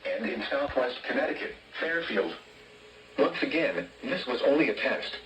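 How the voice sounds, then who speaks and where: A man's synthetic-sounding voice reading a scripted public weather bulletin in a formal, measured announcer delivery over a radio. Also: mid-range voice, big pitch swings, far from the mic, muffled recording. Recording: noisy, echoey weather radio captured from off.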